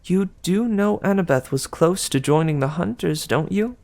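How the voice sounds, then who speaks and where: A man's voice: sits high, animated, normal speed, darkish tone, voice pushed up. A man voicing a vain, doting goddess of love who sighs and talks breathily. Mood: gushing. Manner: breathy gushing, sighing.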